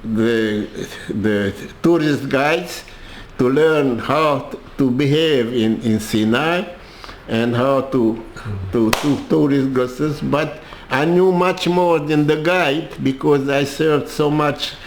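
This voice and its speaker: An older man with a Hungarian accent telling his life story in an interview, halting and restarting his sentences often. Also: mid-range voice, roomy sound, slight background noise, low-quality recording. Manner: halting, with many restarts and repeated words. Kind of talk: personal storytelling.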